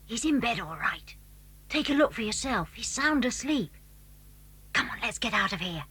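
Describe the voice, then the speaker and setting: A woman's voice: on the high side, big pitch swings, normal speed, background noise. A British woman playing an eager, cautious schoolboy in a children's audio drama. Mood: conspiratorial, eager. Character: eager, cautious schoolboy who leads his friends.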